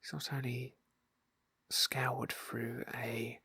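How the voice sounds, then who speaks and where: A man speaking in a soft, breathy hush, often near a whisper, narrating an ASMR roleplay game playthrough. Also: mid-range voice, level tone, drawn-out words, slight background noise. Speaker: ASMR gaming video host and roleplayer. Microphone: close microphone, dry.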